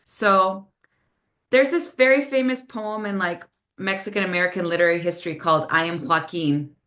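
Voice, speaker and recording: Lowish-voiced woman reading her poetry. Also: fairly even intonation, a bit bright. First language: Spanish. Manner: chatty and hesitant between poems, full of "um" and "like"; slower, measured delivery with Spanish phrases when reading poems. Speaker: poet reading her own work. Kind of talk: poetry reading with casual spoken introductions. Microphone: video call.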